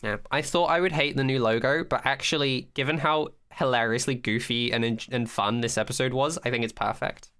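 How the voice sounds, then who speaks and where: A podcast host chatting casually about a TV show in an animated, sweary way, often acting out quotes and sounds. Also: mid-range voice, a little fast, hardly any pauses, clear, resonant tone. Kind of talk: casual chat, fan review of a TV episode.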